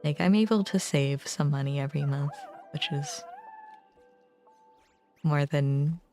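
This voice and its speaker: A young adult woman chatting casually on a livestream, musing informally in short, halting phrases. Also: sits low, lively intonation, mellow. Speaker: game streamer. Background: background music.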